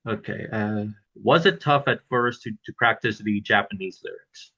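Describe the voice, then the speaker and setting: A man hosting an interview, asking questions haltingly with repeated words. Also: lowish voice, creaky, pushed and bright, slightly rough. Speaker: podcast host, interviewer. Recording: dull lo-fi mic.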